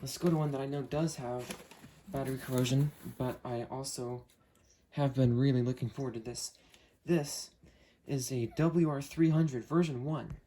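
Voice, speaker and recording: Male unboxing host, mid-pitched, casual hands-on commentary, slight background noise. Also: steady tone, normal speed, mellow. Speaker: host unboxing weather radios.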